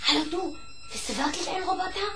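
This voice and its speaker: An actress playing a curious, eager young schoolboy inventor in a children's audio play, inquisitive and boyishly earnest. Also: female voice, extremely high pitch, quick pace, over loud music. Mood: curious, cautious. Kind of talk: 1970s German children's audio play acting.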